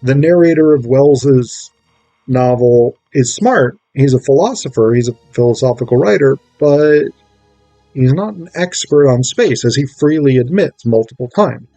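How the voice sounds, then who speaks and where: Mellow man reviewing casually in an echoey room. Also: mid-range voice, measured pace, faint music behind. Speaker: amateur stage actor reviewing a play script. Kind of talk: casual spoken book review to camera.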